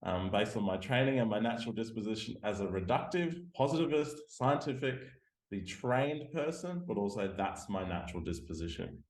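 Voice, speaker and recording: Australian-born academic man, deep voice, halting and reflective, roomy video-call sound. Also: lively intonation. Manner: hesitant, many ums, self-deprecating. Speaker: guest lecturer and scientist. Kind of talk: reflective interview talk. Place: home room by a window.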